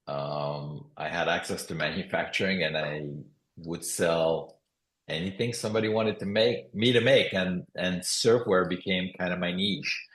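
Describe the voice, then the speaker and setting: Male guest, mixed accent from Morocco and Canada, deep voice, echoey room. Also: unhurried. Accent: mixed foreign. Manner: relaxed, reflective storytelling. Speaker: guest entrepreneur. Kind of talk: interview conversation.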